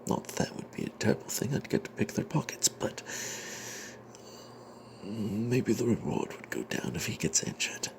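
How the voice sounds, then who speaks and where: A man voicing a greedy, cowardly adventurer cleric, telling a fantasy tale in the first person in a breathy, secretive ASMR whisper with hesitant pauses. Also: fairly low pitch, big pitch swings, noisy background. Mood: grudging, greedy. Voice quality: breathy whisper with creaky edges. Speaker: narrator of a tabletop fantasy tale.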